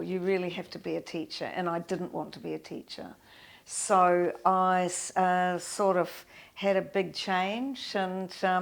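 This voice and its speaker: Older kiwi lady guest reminiscing, lowish creaky voice, thoughtful and stops and starts a lot. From New Zealand. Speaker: guest, pianist and composer. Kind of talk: reminiscing in an interview.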